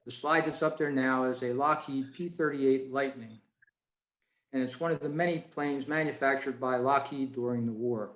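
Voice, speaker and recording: A man's voice: medium pitch, steady tone, normal speed, fairly bright tone. Echoey-sounding man giving a club talk. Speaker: club presenter. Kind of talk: prepared talk read to a club meeting. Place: indoors, on a video call. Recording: roomy, echoey video call audio.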